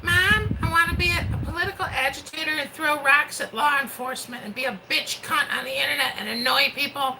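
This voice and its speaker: Bratty whiny girl, high mocking singsong, put on by a man; mic a bit off, noisy line. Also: fairly quick, few pauses, recorded from a distance. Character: whiny bratty young girl answering mom.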